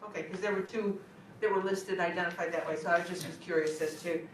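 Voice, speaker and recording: A woman's voice: lowish voice, fairly even intonation, fast talker. A woman chairing a school board meeting, asking plain questions. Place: meeting room. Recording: distant echoey room mic, faint noise.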